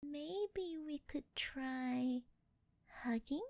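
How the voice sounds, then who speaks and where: A young woman playing a sweet, shy, clingy angel turned succubus in an ASMR roleplay, speaking softly and breathily with a coy, halting, intimate delivery close to the mic. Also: sits high, dry recording, full character voice. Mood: shy coaxing. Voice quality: soft, breathy, girlish. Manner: coy, halting, intimate, often dropping into whispers. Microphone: close ASMR microphone, dry sound.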